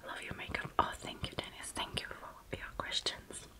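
A young woman from Russia with a Russian accent, whispering softly and closely as an ASMR host answering viewer questions, with pauses and fillers. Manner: soft whisper, close to the mic, halting with fillers. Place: small dark room indoors.

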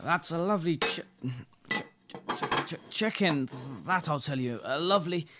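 A man's voice: fairly high pitch, expressive, heavy background noise, natural voice. A young man playing a deferential, drunken butler who stammers and halts, in an amateur radio play. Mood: tipsy, reluctant. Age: young adult. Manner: slurred, stuttering, halting.

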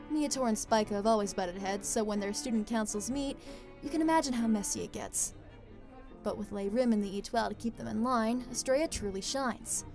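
A woman plays a composed teenage student council member in a fan dub. She explains things calmly, her voice a little high. Music plays clearly behind her. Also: close to an everyday voice. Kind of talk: amateur anime fan dub.

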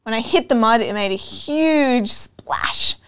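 A young woman reads a picture book aloud for children. Her voice is fairly high, and she goes slowly and expressively, with deliberate phrasing and questions to the listener. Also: lively intonation, fairly bright tone, clean tone. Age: young adult. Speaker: storytime reader. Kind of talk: children's storybook read-aloud. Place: indoor room with couch and bookshelves.

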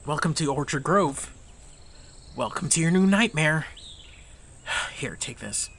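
An actor playing a tough, weary armed chief of a besieged town in an audio drama. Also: male voice, a little high, huge pitch swings, drawn-out words, vocal fry, long pauses, fairly bright tone, a little hiss or hum, exaggerated voice. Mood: weary, grim.

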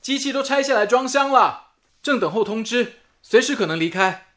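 An actor in a radio drama playing a steady, earnest, resolute wartime radio station colleague. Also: male voice, very high-pitched, normal speed. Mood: concerned.

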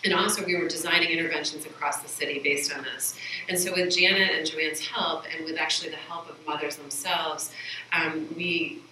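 A woman's voice: sits low, fairly even intonation, normal speed, sharp tone, a little hiss or hum. A woman in her forties giving an academic presentation at a podium microphone, earnest and gesturing, with many fillers. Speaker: researcher panelist. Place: meeting room, indoors. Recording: echoey room, voice from a distance.

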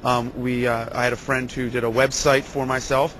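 A man's voice: mid-range voice, flat delivery, normal speed, a bit bright. A middle-aged American man telling his story conversationally in an interview, speaking into a handheld microphone. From California, US. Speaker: interviewee, local political activist. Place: large concrete backstage area indoors. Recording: clear ambient noise of busy backstage hall.

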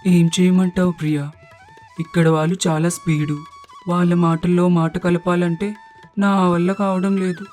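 A woman voicing a middle-aged village mother-in-law in an animated story, downcast and self-doubting, with a plaintive, resigned manner. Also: on the low side, normal speed, natural voice. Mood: downcast, regretful. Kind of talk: animated moral story dialogue. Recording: music bed behind the voice.

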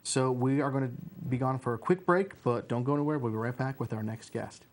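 A man's voice: medium pitch, brisk. Easygoing male talk-show host. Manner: friendly, easygoing questions with short reactions. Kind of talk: community TV interview. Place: small TV studio, seated at a desk. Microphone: lapel mic.

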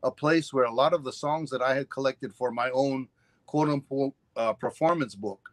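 A man's voice: mid-range voice, moderate pace, no room sound. A man in his sixties from Hawaii, a ukulele teacher telling his story on a video call, wandering through asides and fillers. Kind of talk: casual interview chat. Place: home room on a video call. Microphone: webcam on a video call.

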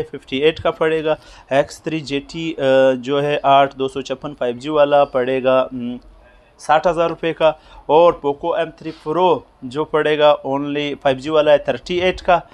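A man in his thirties with a Pakistani Urdu accent, filming in a small phone shop and briskly listing prices with many filler pauses, on a lapel mic. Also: a little high.

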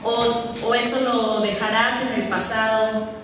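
A woman's voice: fairly high pitch, steady tone, a little slow. A woman reporter asking a long, hesitant question from the audience at a press conference. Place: large event hall, in the audience. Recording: echoey hall, distant mic, noisy.

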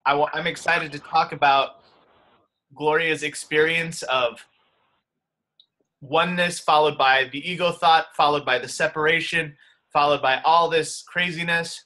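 A cheerful man in his thirties welcomes people to a group call from his home office. His voice sits a little high and rings bright and forceful. He talks into a desk webcam. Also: steady tone, measured pace. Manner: upbeat, welcoming. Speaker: host. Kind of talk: casual group video call.

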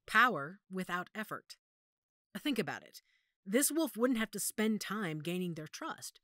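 A woman playing a commanding, calculating werewolf pack mother in an audio drama, deliberate and decisive. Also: mid-range voice, very animated, bright, forceful tone, dry recording, clean studio sound. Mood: grim, reasoning.

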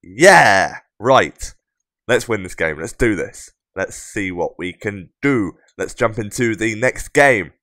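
A young man hosting casual game commentary, his voice croaky and rough from a sore throat, chatty and upbeat. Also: on the low side, animated, takes it slowly, bright, forceful tone, no room sound, studio quality. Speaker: gaming channel host.

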